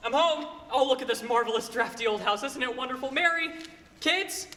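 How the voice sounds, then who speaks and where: A male actor plays an excitable small-town husband and father in a radio play. His voice is very high and bright, and he stammers. The hall is strongly echoey. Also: brisk. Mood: overjoyed. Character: desperate, then overjoyed small-town family man George. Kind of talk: stage radio-play acting. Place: stage in a hall. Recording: echoey hall, faint noise.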